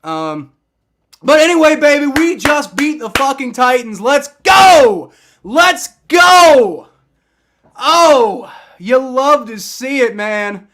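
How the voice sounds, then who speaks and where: A young man hosting a live sports fan stream, shouting and cheering with a voice strained from yelling, swearing freely. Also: very high-pitched, sing-song, slow. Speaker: sports fan livestream host. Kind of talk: excited live fan reaction.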